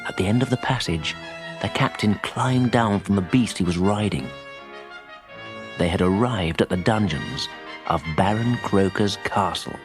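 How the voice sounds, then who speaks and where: A man narrating a children's audiobook in an expressive, dramatic storytelling manner. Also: on the low side, a little slow, music in the background.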